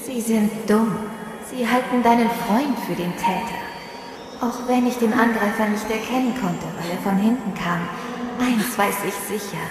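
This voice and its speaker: An actress playing the eerie, calm but pleading ghost of a murdered woman in a horror audio drama, with a ghostly reverb effect. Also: a little high. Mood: eerie, insistent. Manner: slow, haunting, urging. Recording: long cavernous reverb, loud music behind.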